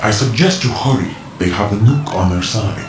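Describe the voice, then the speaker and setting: An actor playing a mysterious, cryptic male informant in a video game cutscene, speaking in a measured, lecturing way. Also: lowish voice, expressive, noisy background. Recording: roomy game mix with loud music.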